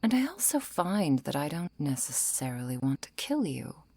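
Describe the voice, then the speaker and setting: A woman playing a seductive, menacing undead vampire in an intimate ASMR roleplay, coaxing and softly threatening, often slipping into whispers. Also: sing-song, normal speed, no echo, full character voice. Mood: persuasive, possessive. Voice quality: low, breathy, creaky.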